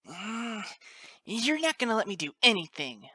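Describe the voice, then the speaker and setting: Woman acting a tearful, stammering little colt, shifted higher. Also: sing-song, measured pace, husky, dry recording, clean studio sound, full character voice. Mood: tearful defiance. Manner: whimpering and yelling, stammering. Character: small tearful, defiant unicorn colt, a young boy. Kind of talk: dramatic audiobook character acting.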